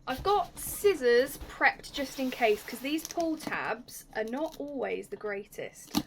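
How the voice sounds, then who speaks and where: A young woman hosting a casual unboxing vlog, chatty and enthusiastic, her voice slightly raspy and going hoarse. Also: high voice, very animated, slow, heavy background noise. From United Kingdom. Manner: chatty, enthusiastic, drops to soft whispers at times. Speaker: YouTube host.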